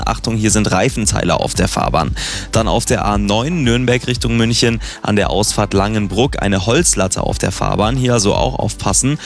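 A man working as a radio traffic reporter, giving a bulletin and addressing listeners informally. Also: lowish voice.

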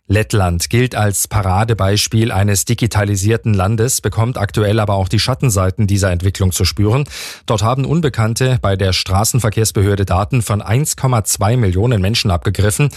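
A man reading the news on the radio in a measured, formal way. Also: on the low side, a little mellow, no room sound, clean studio sound. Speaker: newsreader. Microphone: dry studio mic.

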